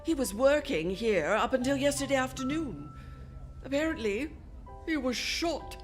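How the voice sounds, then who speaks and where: A woman's voice: high voice, animated, unhurried, soft background music. A woman playing a flustered, secretive department store executive in an old-time radio drama, hesitant and repeating words.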